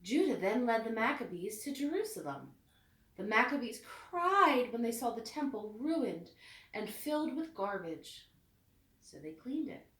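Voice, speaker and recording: Lady reading a kids story, lively up and down voice, roomy echo, bit far from the mic. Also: fairly high pitch, unhurried. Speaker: children's story reader. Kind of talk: read-aloud storybook narration. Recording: echoey room, distant mic.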